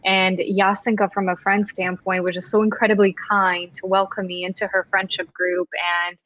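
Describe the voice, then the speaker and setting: A woman's voice: medium pitch, level tone, normal speed, fairly bright tone, dry recording. A woman from Serbia co-hosting a conversational show and introducing a guest in an effusive manner over an online call. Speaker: podcast co-host, organizational psychologist. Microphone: remote online call. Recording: dull, lo-fi call audio.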